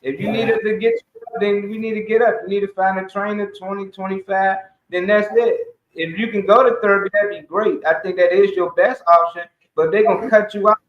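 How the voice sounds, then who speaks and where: Echoey, high-voiced man talking emphatically. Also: steady tone, fairly quick, a little hiss or hum. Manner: emphatic, exhorting, colloquial, swearing. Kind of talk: casual opinionated community talk. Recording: dull, echoey room sound.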